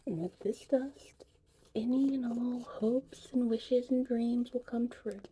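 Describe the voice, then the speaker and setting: A woman playing a gentle, whimsical witch in an ASMR roleplay, speaking in a soft, breathy, soothing whisper. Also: a little high, steady tone, heavily acted, rough recording. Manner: slow, soothing, unhurried. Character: gentle, whimsical female witch teaching potion making. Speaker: ASMR performer.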